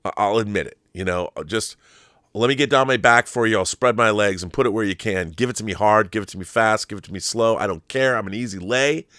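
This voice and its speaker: A man's voice: lowish voice, fairly quick, fairly bright tone, no echo, clean studio sound. A man hosting a film commentary show, talking in a casual, opinionated monologue with an effusive, emphatic, rambling manner and some swearing.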